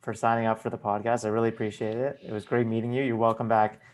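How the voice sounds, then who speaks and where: A man hosting a podcast, with a lowish voice. He speaks in a polite, measured way, thanking his guest and wrapping up the talk. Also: level tone. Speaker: podcast host. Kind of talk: casual interview.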